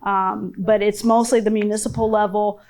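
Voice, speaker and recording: A woman's voice: mid-range voice, level tone, normal speed, darkish tone, rough recording. Chatty woman answering on a panel. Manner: chatty, many restarts and fillers. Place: public forum room.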